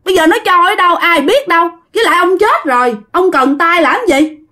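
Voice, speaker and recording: A woman's voice: extremely high pitch, a little fast, bright, forceful tone, pitched above the performer's own voice. A woman voicing a bold, tipsy countryman in a ghost story, talking back bluntly and defensively.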